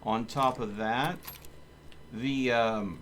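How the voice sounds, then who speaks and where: A man's voice: mid-range voice, steady tone, extremely slow, a bit bright, a little hiss or hum. A man giving casual live game commentary, thinking aloud and talking to his viewers. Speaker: video game live streamer.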